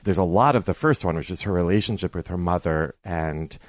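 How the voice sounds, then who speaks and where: Deep-voiced, opinionated man discussing books. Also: fairly quick, no echo. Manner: emphatic, opinionated. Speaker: podcast guest, journalist. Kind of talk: conversational book criticism.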